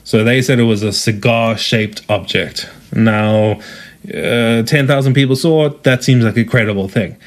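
A man with a South African accent, hosting a solo podcast in a chatty, joking way with quick asides. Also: mid-range voice. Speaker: podcast host. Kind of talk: casual solo podcast talk.

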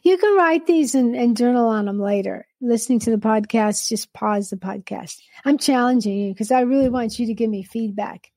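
A woman's voice: fairly high pitch, moderate pace, hardly any pauses, a little mellow, no room sound. A middle-aged woman hosting a live solo podcast, talking in a candid, rambling way with many restarts. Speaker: podcast host.

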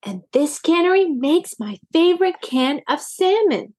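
An adventurous little girl kitten, eager and exclaiming, her high voice bouncing up and down, as a woman puts her on for a storybook. Slow, with a dry close sound. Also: expressive, a little mellow, no echo. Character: bold curious young girl kitten.

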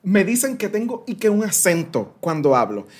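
A man's voice: sits high, sing-song, normal speed, a little mellow, slightly rough. A Puerto Rican man in his thirties, a guest consultant explaining ideas animatedly with everyday analogies and some English words mixed in. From Puerto Rico. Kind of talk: interview explanation.

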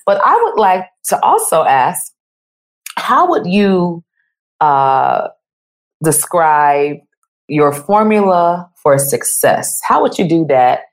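A woman's voice: lowish voice, big pitch swings, slow. A woman hosting an interview podcast, asking questions in an encouraging, enthusiastic manner. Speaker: podcast host.

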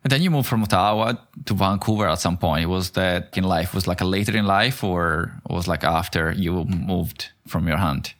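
A man's voice: low-pitched, normal speed, hardly any pauses, a bit bright. A man who interviews a guest, asking curious, long winding questions full of "like". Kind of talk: conversational interview.

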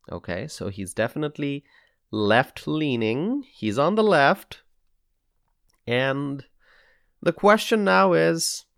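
Bangladeshi guy doing solo politics podcast, creaky voice, very dry close sound, emphatic and deliberate. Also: mid-range voice, no room sound. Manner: deliberate, emphatic, repeats phrases for weight.

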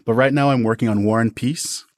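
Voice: mid-range voice, steady tone, brisk, dry recording, studio quality. A guest of about thirty giving thoughtful, articulate and enthusiastic answers in a conversational interview. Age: around 30. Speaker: interview guest, audio drama podcast creator.

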